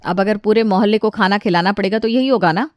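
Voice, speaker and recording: Cartoon story daughter-in-law, young, dutiful, weary female narrator acts her, mid pitch. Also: fast, no room sound, studio quality, natural voice. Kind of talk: children's cartoon story dialogue.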